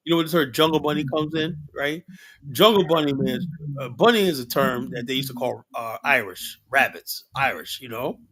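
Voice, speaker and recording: Man, middle-aged, from Liberia, livestream host, rambling talk, lots of "you know", animated delivery. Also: mid-range voice, moderate pace, rough recording. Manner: rambling, animated, many fillers and rhetorical tags. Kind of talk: casual livestream discussion.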